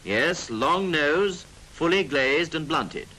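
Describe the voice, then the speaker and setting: A man's voice: a little high, expressive, slow, sharp tone. A British man narrating a 1940s military training film in a clipped, instructive manner with drily jocular asides. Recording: noisy 1940s film soundtrack.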